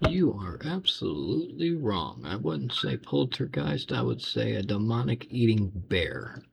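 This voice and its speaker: A man's voice: lowish voice, very animated, unhurried, vocal fry, a little hiss or hum. A man giving casual, sarcastic, exasperated reaction commentary on horror videos, swearing freely.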